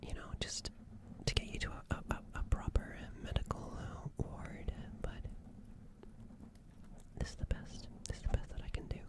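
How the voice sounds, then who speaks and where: A performer voicing a gentle, apologetic alien medic in a whispered ASMR roleplay, hesitant and halting, softly repeating phrases. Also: lightly acted. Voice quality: breathy whisper.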